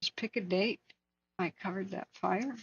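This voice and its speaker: A woman hosting a wildfire tracking livestream, hoarse from hours of talking, speaking earnestly and answering viewers by name. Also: mid-range voice, moderate pace, no echo, highs cut off. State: hoarse, losing her voice. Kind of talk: casual livestream chat.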